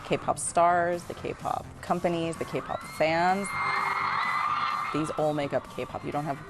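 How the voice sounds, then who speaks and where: Female documentary narrator, low register with vocal fry, explaining over prominent music. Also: fairly even intonation, slow pace.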